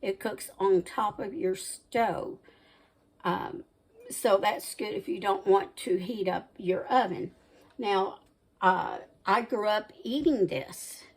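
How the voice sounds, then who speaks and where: A woman's voice: mid-range voice, takes it slowly, breathy edge, rough recording. A woman in her sixties from the American South, with a Southern accent mixed with Midwestern, hosting a home cooking channel and rambling chattily between side stories. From Southern United States. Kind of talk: casual chatty cooking vlog talk.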